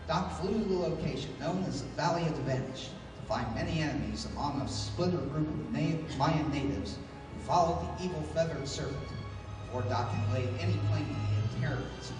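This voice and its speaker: A man announcing and narrating an old-time radio adventure serial and its sponsor pitch in a theatrical style. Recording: echoey hall from far off, noisy.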